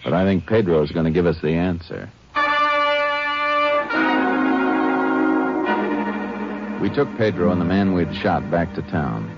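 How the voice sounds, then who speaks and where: A stern American lawman in a vintage radio police drama. His voice sits very low and stays terse and factual. The recording is dull and old, with music behind. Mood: sober. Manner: terse, matter-of-fact. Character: stern, steady Texas lawman narrating his case.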